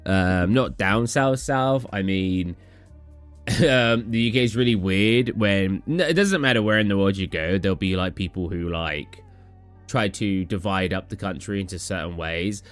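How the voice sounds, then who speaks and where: A man's voice: low voice, measured pace, smooth, faint music behind. A young man from England, chatting casually on a live stream and answering chat questions in a tired, rambling way. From southern England. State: tired, low energy.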